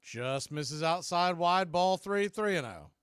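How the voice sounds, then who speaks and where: A man's voice: high voice, expressive, takes it slowly, fairly bright tone, clean tone, dry recording, studio quality. A man working as a baseball radio announcer, calling the game live in short, clipped phrases. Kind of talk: live baseball play-by-play commentary.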